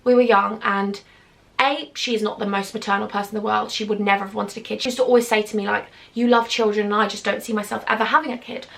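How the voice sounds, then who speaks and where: A woman's voice: mid-range voice, steady tone, quick pace, creaky, fairly bright tone. A young British woman telling a personal storytime on her vlog, chatty and confiding with sarcastic asides. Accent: British English. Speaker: YouTuber.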